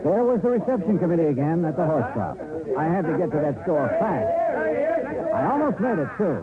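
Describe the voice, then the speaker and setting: A man's voice: on the high side, sing-song, a little fast, a loud crowd. An American man acting as a wry, clipped insurance investigator in an old-time detective radio drama. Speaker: lead actor and first-person narrator. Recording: vintage narrow-band radio, music behind.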